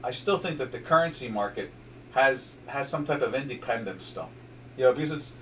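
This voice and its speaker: A man's voice: medium pitch, lively intonation, fairly quick, a bit bright, distant mic, a little hiss or hum. An older man from southern New Jersey with a South Jersey accent, chatting loosely about currency charts as host, full of fillers and restarts. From southern New Jersey, USA. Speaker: trading show host. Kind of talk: casual market commentary over charts.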